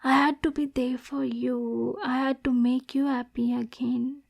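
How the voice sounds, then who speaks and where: A woman's voice: sits high, flat delivery, a little slow, heavily acted. A woman playing an obsessive, lovesick demon girl in an ASMR roleplay, clingy and possessive, addressing the listener directly. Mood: tender, sorrowful. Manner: breathy, clingy, swinging between tender and domineering.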